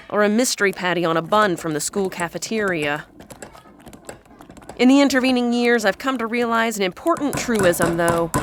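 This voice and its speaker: A woman's voice: mid-range voice, lightly acted. Woman playing worn-out mom in an audio drama, wry n patient, noisy recording. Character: weary mother on hold with an insurance company.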